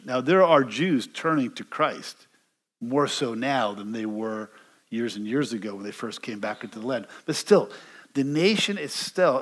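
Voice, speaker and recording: American pastor teaching the Bible conversationally. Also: male voice, medium pitch. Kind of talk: expository sermon, verse-by-verse Bible teaching. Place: indoors, church stage with stone wall.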